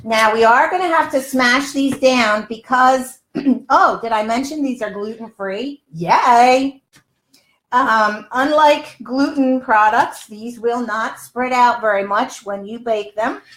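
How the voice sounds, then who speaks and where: Older female cooking host, on the high side, chatty and exclamatory, talking straight to viewers in a reverberant home kitchen. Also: slow pace, a bit bright. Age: older, around 60s. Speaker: health coach and cooking show host. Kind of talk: casual live cooking demonstration. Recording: roomy, echoey home kitchen sound.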